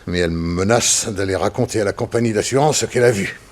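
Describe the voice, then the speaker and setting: An actor in a 1960s French radio drama playing an anxious, weak-willed crooked racehorse owner, with a hesitant, pleading delivery. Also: male voice, lowish voice, quick pace, close to an everyday voice. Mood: worried.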